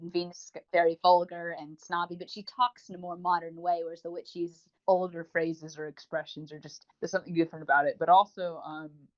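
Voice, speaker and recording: Lower-voiced woman chatting on lo-fi audio. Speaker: podcast co-host. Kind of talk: casual review chat. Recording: dull lo-fi voice recording.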